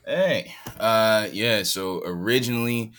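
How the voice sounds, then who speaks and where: A man's voice: on the low side, slow, fairly bright tone. A man from San Diego, living in Hawaii, chatting casually as a guest on a podcast, laid-back and laughing easily. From San Diego, California. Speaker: rapper guest. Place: home in Hawaii, remote call.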